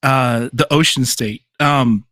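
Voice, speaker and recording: Casting note: male podcast host, easygoing and chatty, mid pitch, dry close mic. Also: steady tone, takes it slowly, fairly bright tone, no room sound. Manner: loose and chatty, laughs easily, many fillers. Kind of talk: casual live podcast chat.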